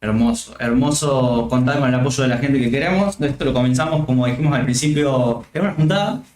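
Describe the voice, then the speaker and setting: A man's voice: mid-range voice, a little fast, roomy sound. An Argentine man hosting a casual stream from his home set, easygoing and grateful, cracking small jokes. His voice sits in a mid register and rings a little off the walls of the room. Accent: Argentine Spanish. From Catamarca, Argentina. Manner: relaxed, thankful, joking. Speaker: stream host.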